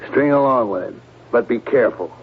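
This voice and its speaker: An actor playing the guarded chief of a secret project in a 1970s radio drama, terse, probing and authoritative. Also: male voice, medium pitch, expressive, fairly quick, natural voice. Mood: grave, commanding. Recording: tinny, noisy old radio broadcast.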